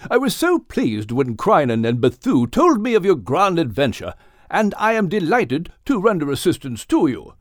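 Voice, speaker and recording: A courtly old-world whale with a proper English accent, as a storyteller puts him on, his voice climbing and swooping with delight. He talks in formal, cheerful phrases, set a little higher than the narrator's own voice and very dry and close. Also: wildly swooping pitch, normal speed, dry recording. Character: genteel male right whale, distinguished and courteous.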